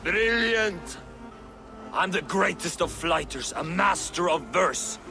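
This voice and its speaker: Casting: jovial, boastful Viking verse-battler in a game; theatrical, swooping, unhurried delivery. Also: male voice, high-pitched, animated, gravelly, over music, exaggerated voice. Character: boastful jovial Viking flyting poet, a good sport. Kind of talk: video game voice acting.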